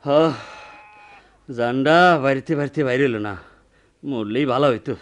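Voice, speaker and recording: A man's voice: mid-range voice, brisk, natural voice. A man acting an injured, feverish young villager in a radio drama, weak and despairing. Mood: pained despair.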